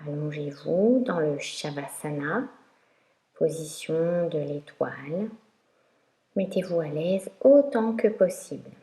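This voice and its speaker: A woman teaching yoga nidra, guiding a meditation in a calm, instructional way with long pauses. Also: low-pitched. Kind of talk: guided meditation narration. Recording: roomy echo.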